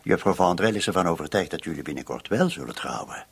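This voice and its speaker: Shrewd police commissioner, low voice, lively sceptical intonation, husky, radio play. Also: male voice, fast talker, heavily acted. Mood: probing, sceptical. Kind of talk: Dutch-language radio drama dialogue.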